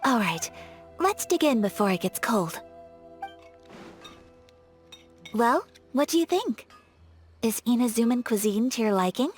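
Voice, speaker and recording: A young woman voicing a poised, shy noblewoman in a game, formal and polite, slipping into soft whispers. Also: fairly high pitch, very animated, moderate pace, faint music behind. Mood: gracious. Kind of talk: game voice acting.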